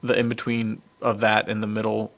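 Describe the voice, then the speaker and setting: A man's voice: on the low side, monotone, normal speed, fairly bright tone. A man co-hosting a podcast, explaining things off the cuff in a laid-back, slangy way. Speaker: podcast co-host. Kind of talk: casual chat, off-the-cuff explaining.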